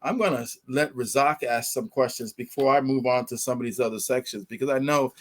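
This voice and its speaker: An enthusiastic male podcast host, heard in a dry, close sound with no room echo. His voice sits at a middling pitch for a man. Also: brisk. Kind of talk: casual interview talk.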